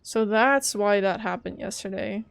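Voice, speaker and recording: A woman's voice: medium pitch, measured pace, vocal fry, no echo. A woman game streamer giving casual commentary, informally reacting aloud as she plays. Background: game music.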